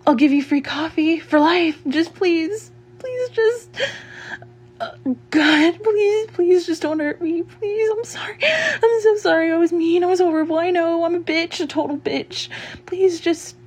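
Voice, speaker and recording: A woman acting out a dramatic audio roleplay as a mouthy shop worker who is cornered and frightened, with halting delivery. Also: very high-pitched, a little mellow. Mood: nervous, pleading, then tearful, panicked. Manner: halting, stammering, repetitive pleading.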